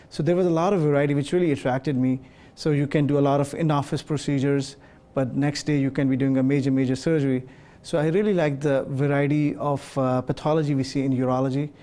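Mellow-voiced man explaining with many fillers. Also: medium pitch. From Pakistan. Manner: measured, explanatory, frequent uh and um fillers. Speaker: urologist guest. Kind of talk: studio interview answers. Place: television studio set. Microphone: lapel mic.

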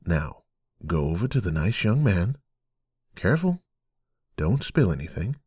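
A man voicing a kindly, fatherly talking teapot in an audio drama, gentle and reassuring. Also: deep voice, sing-song, a little slow, breathy edge, no echo, clean studio sound, exaggerated voice. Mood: fatherly, chiding.

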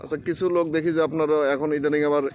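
A man's voice: fairly high pitch, little pitch movement, racing, darkish tone. A man with a Bangladeshi accent doing a casual interview, asking short inquisitive questions and echoing the answers back. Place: outdoors in a village. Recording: clearly noisy outdoor rural field recording.

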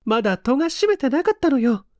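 A man's voice: very high voice, big pitch swings, quick pace, a little mellow, no echo, clean studio sound, pitched above the performer's own voice. A man voicing a frightened, talkative wife with pleading, feminine speech in a dramatized story reading. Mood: shaken, insistent. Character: frightened, talkative young wife insisting on a ghost.

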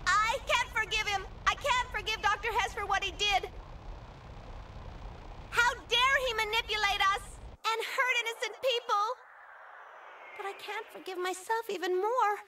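A woman's voice: very high-pitched, steady tone, normal speed, pauses often, background noise. A woman playing an emotional young android girl in an English anime dub, plaintive and guileless. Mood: anguished. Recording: distant voice under music.

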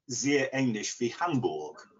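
An English man in his sixties with an English accent, answering interview questions haltingly as he searches for words in a second language. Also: medium pitch, big pitch swings, slightly rough. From England, near Liverpool. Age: 60s. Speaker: interview guest, language learner. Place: living room at home. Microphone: computer mic on video call. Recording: dull, lo-fi video call.

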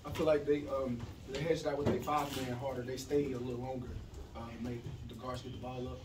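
A young man in his twenties, a college basketball player, answering post-game questions at a press conference in a relaxed way and often saying "man". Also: mid-range voice, brisk. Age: 20s. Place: press room behind a table with a sponsor backdrop. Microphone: table mic, recorded from a distant camera. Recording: echoey room, far from the mic, loud background noise.

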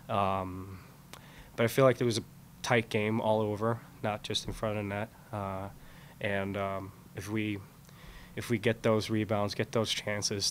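A young man from Sweden, a college hockey player giving plain, measured post-game answers. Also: on the low side, slight background noise. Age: young adult. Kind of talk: post-game press conference. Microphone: podium mic.

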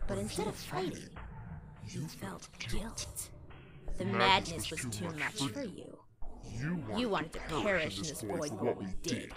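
A woman's voice: on the low side, wildly swooping pitch, a loud crowd. Woman voicing a scornful villain, wildly swooping voice. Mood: gloating menace. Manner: grandiose, contemptuous, drawn-out theatrical delivery. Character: sinister, scornful villainous split-off half of a monster scientist. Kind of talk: dramatic comic dub acting. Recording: loud music over the voice.

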